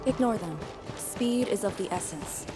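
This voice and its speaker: A woman's voice: medium pitch, animated, unhurried, natural voice. A woman voicing a stern, composed mission leader in a video game, serious and clipped in her delivery. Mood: brisk. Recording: game music behind the voice. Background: game soundtrack.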